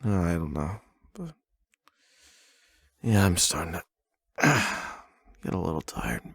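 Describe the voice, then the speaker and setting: A man with a husky, gravelly voice speaking softly and intimately in an unhurried bedtime ASMR style, close to the microphone. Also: sits low, very animated, no room sound. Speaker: ASMR boyfriend roleplay performer. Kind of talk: soft bedtime reading and intimate ASMR roleplay.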